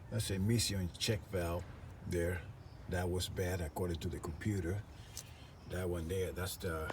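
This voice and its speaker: Male DIY repair vlogger, low register, casual and muttery, noisy camera audio. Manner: informal, muttering to himself while working. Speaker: vlogger, tradesman doing a DIY truck repair. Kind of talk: casual hands-on repair vlog. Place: at a vehicle being repaired.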